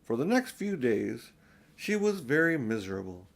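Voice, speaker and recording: A man's voice: mid-range voice, big pitch swings, normal speed, gravelly. An older man narrating a children's storybook expressively as an audiobook reader.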